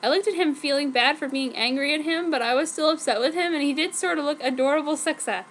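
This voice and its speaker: A woman's voice: sits high, fast talker, a bit bright. A woman narrating fan fiction in a theatrical, mock-dramatic reading style. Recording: clearly noisy home recording.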